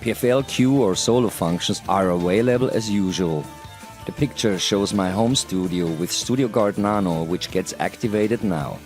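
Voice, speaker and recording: A middle-aged man gives a formal, deliberate scripted presentation into a headset mic in a small studio. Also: fairly low pitch, a little mellow, faint music behind. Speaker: presenter, audio educator. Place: small home studio, indoors.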